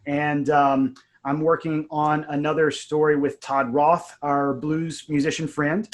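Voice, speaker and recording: A man's voice: a little high, flat delivery, measured pace. A man speaking casually and conversationally as the co-host and producer of an audio drama show. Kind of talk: casual behind-the-scenes chat.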